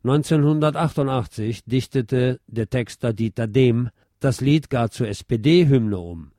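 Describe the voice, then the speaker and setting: Mellow-voiced man reading a scripted essay. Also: mid-range voice, slow pace, no echo, clean studio sound. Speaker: narrator reading an essay on German music history. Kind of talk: scripted narration, reading aloud.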